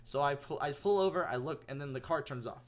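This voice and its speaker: Young male podcast co-host, a touch high, animated, rambling storyteller. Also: fast. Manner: animated storytelling with swearing and restarts. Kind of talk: casual chat.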